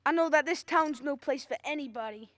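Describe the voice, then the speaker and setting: A woman acting a gentle, weary father in a radio drama, speaking patiently with small hesitations. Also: high-pitched, brisk. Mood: earnest. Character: gentle, weary old father of a grown son. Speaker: student actor.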